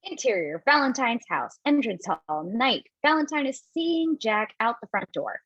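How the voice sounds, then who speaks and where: A woman's voice: fairly high pitch, animated, a little slow, a bit bright, husky, no echo. A woman reads out the scene settings and actions of a script for a home table read. Her narration is plain and matter-of-fact. The sound is dry and a bit dull. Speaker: stage-direction reader. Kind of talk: reading script stage directions.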